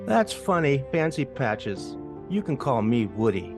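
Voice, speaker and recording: Gruff cocky field mouse, teasing drawl, very animated intonation, audio drama, music behind. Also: male voice, mid-range voice, a little slow. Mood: cocky, mocking.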